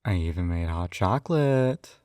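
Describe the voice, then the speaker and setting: A man's voice: deep voice, expressive, moderate pace, darkish tone, clean tone, dry recording, clean studio sound. A man acting in an intimate scripted roleplay as a possessive, doting captor who coaxes in a slightly condescending way. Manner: coaxing, doting, slightly condescending, often sighing. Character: possessive, coaxing male yandere captor and hypnotist. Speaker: audio roleplay performer.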